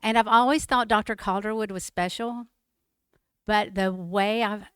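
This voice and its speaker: A woman's voice: mid-range voice, steady tone, moderate pace, smooth, no echo, clean studio sound. A middle-aged woman, founder of a group, giving an earnest, chatty talk at a meeting. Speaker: guest speaker and group founder.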